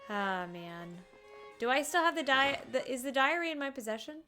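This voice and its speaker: A woman's voice: high-pitched, animated, normal speed, pushed and bright. A woman streamer giving casual live commentary as she plays a video game. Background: game music.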